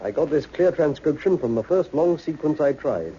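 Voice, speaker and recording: An earnest codebreaker played by a man with a very dark, mellow tone and animated intonation. He explains precisely in old radio drama style, on a dull recording. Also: fairly high pitch, moderate pace, slight background noise, natural voice. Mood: intent explanation. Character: earnest male codebreaker explaining alien signals. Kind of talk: 1960s radio drama acting.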